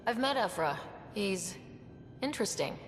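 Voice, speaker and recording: A woman's voice: medium pitch, lively intonation, moderate pace, slight background noise, close to an everyday voice. A woman playing a friendly, wry young human explorer in a video game, talking casually. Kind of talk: dramatic game dialogue. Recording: echoey hall, faint music behind.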